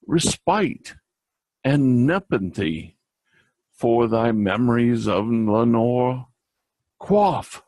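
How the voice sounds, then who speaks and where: A man giving a theatrical dramatic poetry recitation in a gravelly, husky voice, with drawn-out pauses and emphatic repeats. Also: lowish voice, dry recording. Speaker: amateur reader of a classic poem.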